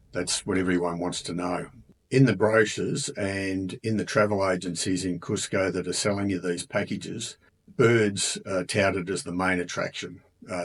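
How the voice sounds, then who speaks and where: A man with an Australian accent talking casually about a trip, relaxed and chatty with many ums and uhs. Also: low-pitched, slightly rough. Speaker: travel vlogger. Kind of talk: casual travel narration.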